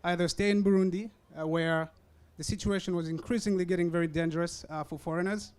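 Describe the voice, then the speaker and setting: High-voiced young Rwandan man speaking. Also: fairly even intonation, quick pace, a little mellow, smooth. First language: not English. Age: young adult. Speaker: student speaker. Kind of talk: prepared public talk. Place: university event tent. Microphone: hand-held or stand mic.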